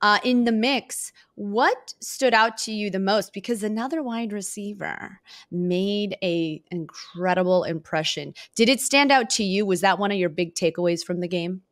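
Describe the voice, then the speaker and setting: A woman's voice: mid-range voice, normal speed, dry recording, clean studio sound. A woman hosting a sports podcast, chatting casually about football in an informal, upbeat manner.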